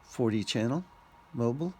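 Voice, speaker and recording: A man giving casual, unscripted unboxing commentary as a vlogger, speaking in short clipped remarks with pauses. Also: medium pitch, lively intonation, mellow, a little hiss or hum.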